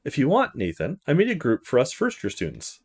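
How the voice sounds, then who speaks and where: A man's voice: a little high, huge pitch swings, a little fast, a little mellow, exaggerated voice. A man voicing a friendly, easygoing young male university student in a visual novel, chatty and casual. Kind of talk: visual novel character read-aloud.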